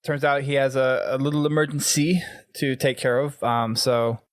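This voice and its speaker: Male podcast host, mid-pitched, casual gaming chat, dry recording. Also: steady tone, moderate pace, no room sound.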